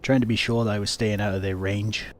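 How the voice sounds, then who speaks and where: Low-voiced man explaining gameplay. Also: flat delivery, fast talker. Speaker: gaming video host. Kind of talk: casual game commentary, explanatory. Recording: faint background noise.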